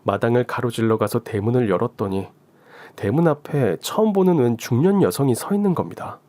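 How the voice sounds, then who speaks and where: A man narrates a scripted radio story in the first person, with an animated, rising-and-falling delivery. His voice is mid-pitched and sits dry and close to the mic. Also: a little fast, dry recording.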